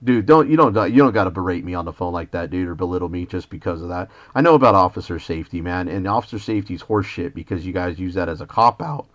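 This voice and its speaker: American guy, kinda low voice, argumentative and preachy, confrontational phone call, muffled audio. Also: expressive, fast talker, few pauses. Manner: argumentative, indignant, lecturing. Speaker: citizen journalist questioning police by phone. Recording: dull lo-fi phone call recording.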